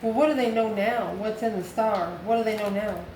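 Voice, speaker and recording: A woman's voice: mid-range voice, a little fast. American lady doing a casual tarot reading, kinda musing to herself, roomy echo and background noise. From United States. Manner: musing aloud, asks and answers own questions. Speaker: tarot card reader. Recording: echoey room, clear background noise.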